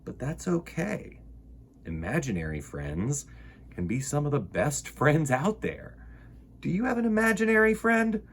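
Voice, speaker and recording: A man's voice: a little high, measured pace, a little hiss or hum. A man hosting a children's storytime, reading and chatting expressively and speaking straight to young listeners. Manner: expressive, emphatic, addresses young listeners directly. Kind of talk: animated picture-book reading and chat to children.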